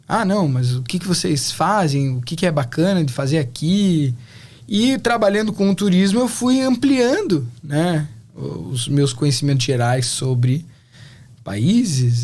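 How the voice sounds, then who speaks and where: A man's voice: a little high, a little mellow. A Brazilian man in his thirties, talking casually and reflectively as an interview guest. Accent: Brazilian Portuguese. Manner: reflective, chatty, many fillers.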